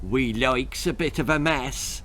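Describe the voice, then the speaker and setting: A man's voice: medium pitch, a little slow, a bit bright, a little hiss or hum, lightly acted. A man voicing a tiny, cheery, forgetful old leprechaun with an Irish accent and a chatty, folksy manner. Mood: confiding, gleeful. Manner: chatty, folksy, repetitive greetings.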